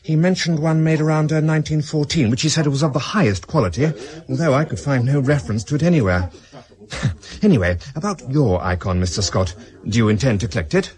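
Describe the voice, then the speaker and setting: An actor playing an affable, fussy London auction-house specialist in a radio drama, with a British accent. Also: male voice, medium pitch, expressive, brisk, a little mellow, close to an everyday voice. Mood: expert, chatty. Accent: British English. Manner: fussy, courteous, chatty.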